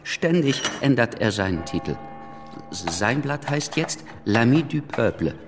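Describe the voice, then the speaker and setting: A man's voice: medium pitch, sing-song, a little slow, noisy background. An actor playing a witty male pamphleteer with a slight stammer, narrating and talking wryly in a radio play. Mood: wry, gossipy. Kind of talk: first-person radio play narration and dialogue.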